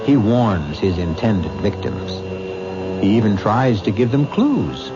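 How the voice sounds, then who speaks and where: An actor narrating as the wry, ominous host of a radio mystery series, with a measured, theatrical delivery. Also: male voice, fairly low pitch, very animated. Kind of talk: scripted dramatic narration. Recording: muffled old radio broadcast. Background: loud music.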